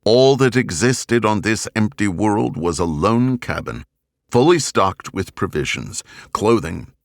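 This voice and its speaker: Male narrator for dramatic fiction: deep, measured, serious, recorded dry and close. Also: lively intonation, creaky, no room sound. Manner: measured, grave, deliberate phrasing. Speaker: audiobook narrator. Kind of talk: scripted dramatic novel narration.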